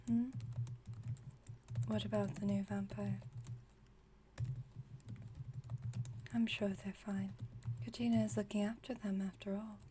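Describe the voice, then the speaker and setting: A woman playing an aloof, wry vampire friend with a secretly caring side, in a soft, breathy ASMR roleplay, speaking in a dry, teasing, unhurried way. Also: medium pitch, flat delivery, background noise, natural voice. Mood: soft, reflective. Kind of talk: ASMR roleplay, intimate monologue.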